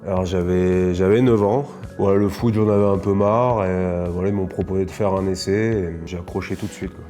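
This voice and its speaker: A man's voice: deep voice, steady tone, fairly quick, mellow, soft background music. A man in his thirties from northern France, a professional rugby player answering interview questions casually, with many fillers and restarts.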